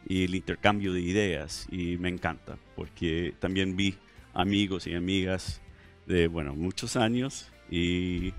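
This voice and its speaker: A middle-aged man with a deep, low voice talks in Spanish carrying a blended Chilean, Mexican and American accent. He hesitates and restarts often, chatting as a guest on a headset mic in a TV studio. Faint music sits in the background. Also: fairly even intonation, a little slow. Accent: mixed Chilean, Mexican and American Spanish. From California, United States. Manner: hesitant, many fillers and restarts. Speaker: guest historian. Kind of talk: relaxed interview conversation. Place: TV studio set.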